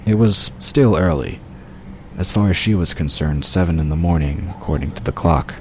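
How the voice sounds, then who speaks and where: Very deep-voiced male audiobook narrator. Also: normal speed, a little mellow. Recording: clear noise behind the voice. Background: ambient sound effects.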